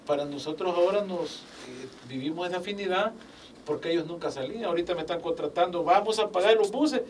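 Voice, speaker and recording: An older man, a business owner telling his life story as a guest in a casual interview. He speaks with animated, faith-filled phrasing and acts out quoted dialogue. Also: high voice, a little fast. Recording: distant mic with faint background noise.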